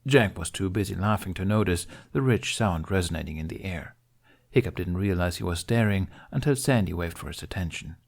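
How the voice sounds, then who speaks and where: A man's voice: low-pitched, animated, fairly quick, vocal fry. A man reading a story aloud as scripted narration. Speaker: podfic reader.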